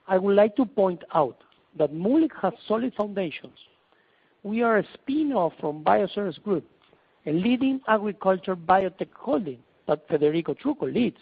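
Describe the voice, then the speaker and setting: A man's voice: high-pitched, animated, normal speed, breathy edge. A man presenting as a company executive, reading a scripted investor presentation over a conference call phone line. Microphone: telephone conference call.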